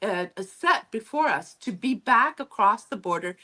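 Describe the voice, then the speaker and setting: A woman whose first language is Low German, giving reflective, conversational answers as an interview guest. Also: lively intonation, unhurried, sharp tone. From Mexico, then Texas from childhood.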